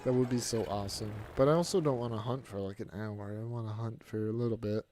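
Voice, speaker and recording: A man's voice: fairly low pitch, fast, over music. A man streaming a video game live, chatting casually and answering viewers' comments aloud in a laid-back way.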